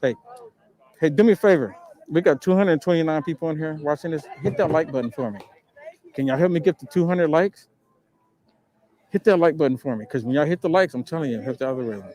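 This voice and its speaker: A man's voice: on the high side, a little fast, pauses often, a little mellow. A man live streaming on the street, eagerly urging viewers to hit the like button. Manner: upbeat, pushy calls for likes. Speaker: street livestreamer.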